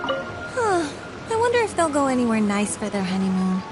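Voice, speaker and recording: A woman's voice: a little high, wildly swooping pitch, normal speed, rough recording. An actress playing a curious young townswoman in a video game, musing in a chatty, gossipy way. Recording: music clearly behind.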